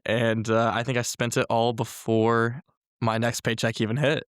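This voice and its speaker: A young man who is a college freshman, chatting as a guest in a relaxed, upbeat, joking way. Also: medium pitch, normal speed, clean tone, no echo, studio quality. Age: young adult. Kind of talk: casual interview chat.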